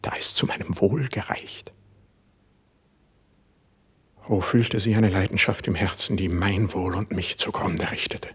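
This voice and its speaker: Brooding proud poet, very husky low voice, slow declamatory verse, classical radio drama. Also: male voice, fairly even intonation, a bit bright, whispered, exaggerated voice. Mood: anguished. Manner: declamatory, solemn stage diction. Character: wounded, proud, brooding young male poet.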